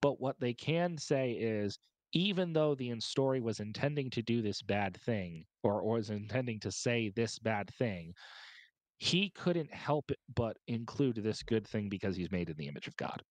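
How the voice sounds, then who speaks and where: A man's voice: on the low side, animated, moderate pace, no echo, poor audio. A man speaking as a podcast panelist, explaining his points at length in a wordy, emphatic, step-by-step way.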